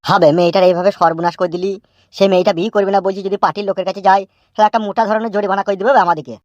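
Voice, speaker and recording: A man acting fretful, fast-babbling old village father. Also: high voice, steady tone, no room sound, muffled recording, natural voice. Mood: worried, pleading. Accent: rural West Bengal Bengali. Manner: nagging, fretful, repeats words. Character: anxious, scolding, foul-mouthed old village father. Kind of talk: comic cartoon dubbing.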